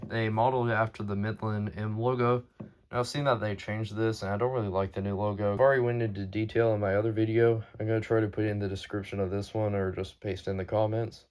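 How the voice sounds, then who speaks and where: A man's voice: lowish voice, darkish tone. A man giving a casual, off-the-cuff show-and-tell narration as the host of a collection video. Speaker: host showing a weather radio collection.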